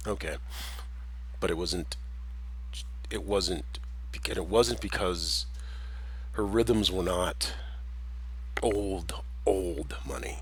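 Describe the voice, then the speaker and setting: A man's voice: sits low, slow, long pauses, a bit bright, slightly rough, rough recording. A man with an American accent carrying a slight Jamaican tinge, talking in a rambling personal monologue full of restarts and chuckles. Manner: meandering, with many restarts and chuckles.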